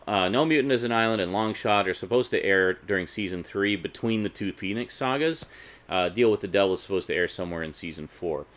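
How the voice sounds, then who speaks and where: A man's voice: low voice, fairly bright tone. A man hosting a casual, unscripted collector video, talking in a chatty, informal way with conversational asides. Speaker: video host reviewing a DVD collection.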